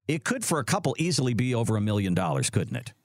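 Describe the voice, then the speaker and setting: A man in his sixties hosting a casual financial radio show, chatty and lively. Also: mid-range voice, fast, dry recording, clean studio sound. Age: 60s. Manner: lively, chatty, acts out his own thoughts. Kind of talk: casual radio talk and ad reads.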